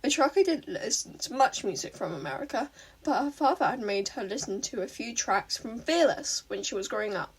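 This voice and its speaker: A woman's voice: on the high side, lively intonation, fairly quick, slightly rough, low-quality recording. A woman reading fan fiction aloud as a scripted story narration.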